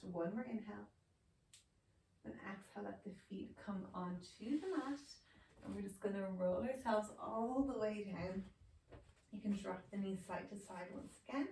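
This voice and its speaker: A woman teaching a yoga class, giving calm, slow, soothing cues while she is losing her voice. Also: medium pitch, vocal fry, a little hiss or hum. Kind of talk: guided yoga instruction. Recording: roomy, distant mic, faint ambience.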